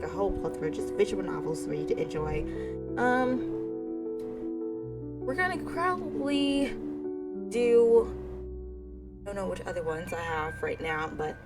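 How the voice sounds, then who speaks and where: A woman streamer doing casual, playful let's-play commentary and reading a visual novel aloud in an informal, self-deprecating way. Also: fairly low pitch. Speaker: gaming streamer.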